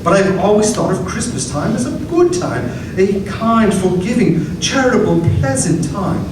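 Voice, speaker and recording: A man's voice: sits high, sing-song, moderate pace, heavy reverb, far from the mic, noisy background. A man playing a cheery young nephew. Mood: earnest. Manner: earnest, pleading. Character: cheerful young male nephew, warm-hearted. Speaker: actor in a live radio play. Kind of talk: live radio play acting.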